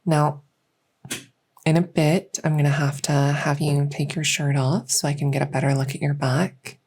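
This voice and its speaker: A woman playing a gentle, reassuring doctor in a soft-spoken medical roleplay, speaking hesitantly with frequent pauses. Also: low-pitched, little pitch movement, slightly rough. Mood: gentle, comforting. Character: gentle, reassuring female doctor in a fantasy transition clinic. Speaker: roleplay audio performer.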